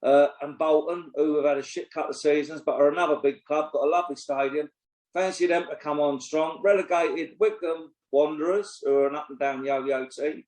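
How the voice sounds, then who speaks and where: A man's voice: fairly high pitch, fairly even intonation, fairly quick, darkish tone. A man, co-hosting a casual football podcast, blunt and opinionated, swearing freely. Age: 60s. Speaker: podcast co-host and pundit. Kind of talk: casual chat. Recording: roomy echo.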